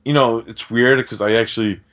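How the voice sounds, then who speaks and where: A man's voice: mid-range voice, fairly even intonation, brisk, a bit bright. A man hosting a podcast, chatting casually about a film in a rambling way with plenty of swearing. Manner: rambling, profane. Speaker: podcast host. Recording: dull, lo-fi audio.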